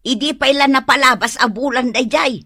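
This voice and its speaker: A woman acting a fussy, grumbling mother in a comedy radio drama, complaining with drawn-out phrases. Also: sits high, pushed and bright, husky, full character voice. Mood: scolding.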